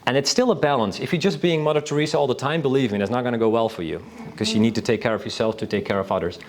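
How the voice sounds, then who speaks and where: A man's voice: mid-range voice, racing, slight background noise. Enthusiastic, rambling man from Northern Europe. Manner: rambling, enthusiastic, frequently restarting sentences. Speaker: panelist. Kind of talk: informal conference talk.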